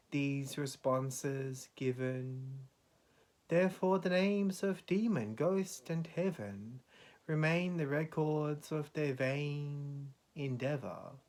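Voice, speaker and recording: Male poetry reader, grave and dramatic, extremely unhurried, measured phrasing with weighty pauses. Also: on the high side, a little mellow. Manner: solemn, measured delivery with deliberate pauses.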